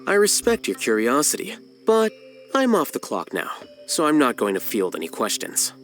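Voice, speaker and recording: A man's voice: medium pitch, huge pitch swings, normal speed, a bit bright. An actor playing an aloof, blunt young male scholar in a game, dry, matter-of-fact and dismissive, with game music behind. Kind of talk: game voice acting.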